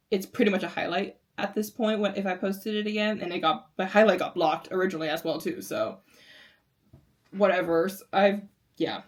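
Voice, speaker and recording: Rambling American woman reactor. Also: on the low side. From United States. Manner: rambling, lots of filler "like". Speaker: series reactor. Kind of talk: casual reaction commentary.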